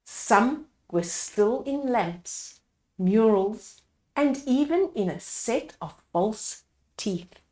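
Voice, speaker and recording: A woman's voice: a little high, expressive, drawn-out words, darkish tone, reverberant. A woman reading a bedtime storybook aloud expressively, explaining it to young listeners as she goes. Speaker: children's story reader.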